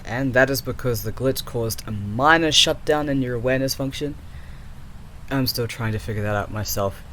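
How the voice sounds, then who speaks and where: A man plays an exasperated male programmer in an audio drama, talking to the AI he built. His voice sits at a middling male pitch, with a sarcastic, fed-up edge. There is audible background noise behind him. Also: moderate pace, sharp tone, close to an everyday voice. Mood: concerned, scolding. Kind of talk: audio roleplay acting.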